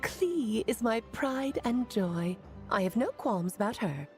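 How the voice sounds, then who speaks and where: A breezy, self-assured game mother, busy and doting. She has a creaky, expressive voice and takes her time, over clear background music. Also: on the high side, takes it slowly. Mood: proud. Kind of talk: video game voice acting.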